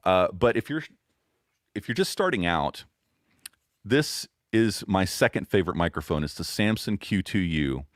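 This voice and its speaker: Man, very deep voice, boomy, relaxed explainer, dry recording. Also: normal speed, no room sound. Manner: relaxed, explains gear step by step with fillers. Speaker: podcast guest. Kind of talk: casual interview chat.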